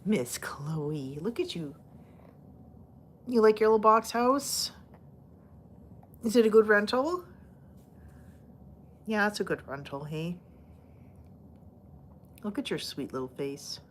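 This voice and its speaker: A woman hosting a live stream at a middle pitch, slipping into soft, playful baby-talk for her cats. She laughs easily and rambles with lots of fillers. Her voice is starting to go hoarse. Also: big pitch swings, takes it slowly, long pauses, slight background noise. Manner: chatty and rambling, many fillers. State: losing her voice. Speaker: livestream host talking to viewers and her cats. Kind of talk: casual live chat.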